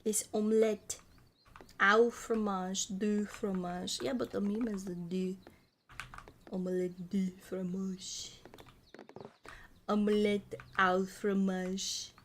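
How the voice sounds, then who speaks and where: A woman in her thirties, a streamer chatting casually while she plays a puzzle game, playful and quick to poke fun at herself. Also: mid-range voice, steady tone, slight background noise. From United States. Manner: playful, self-mocking, laughs often, tries out broken Spanish and French.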